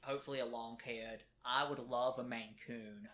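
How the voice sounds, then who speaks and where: A man's voice: medium pitch, steady tone, normal speed, sharp tone. A man co-hosting a casual podcast from a kitchen table at home, talking eagerly and rambling a bit. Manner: enthusiastic, rambling, repeats words. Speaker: podcast co-host. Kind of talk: casual chat.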